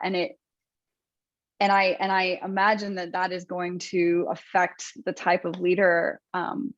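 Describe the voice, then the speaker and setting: A woman with a lowish voice, speaking as an interview guest. She talks thoughtfully, with frequent ums and restarts. Her voice sounds dry and slightly muffled. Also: dry recording. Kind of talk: conversational interview.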